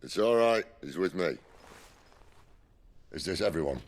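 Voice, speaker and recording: An actor playing a gruff, wry outlaw leader in a video game, commanding and sure of himself. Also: male voice, sits low, lively intonation, a little slow. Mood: assured. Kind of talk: video game cutscene acting.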